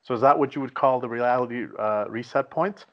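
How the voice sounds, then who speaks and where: Fast-talking male podcast host, dull audio. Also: mid-range voice. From Afghanistan. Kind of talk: conversational podcast discussion.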